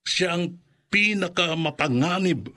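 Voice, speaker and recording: A man's voice: high-pitched, animated, a little slow, pushed and bright, exaggerated voice. A man playing an ominous figure giving warnings in a radio horror drama, in an explanatory way.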